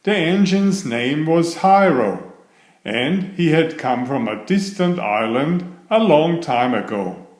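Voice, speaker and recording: A man reading a children's bedtime story aloud in a deliberate, expressive storytelling manner. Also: on the high side. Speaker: storybook reader. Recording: roomy, echoey sound.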